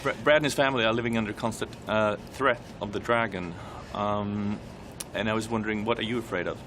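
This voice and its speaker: A man interviews at a press junket, speaking quickly and tripping over his words. His voice sits fairly low, and the recording carries clear background noise. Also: fairly even intonation.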